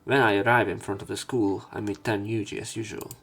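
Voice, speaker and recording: A man's voice: fairly low pitch, little pitch movement, a little fast, a bit bright, slightly rough, faint music behind. A man reading visual novel narration aloud as a let's play narrator.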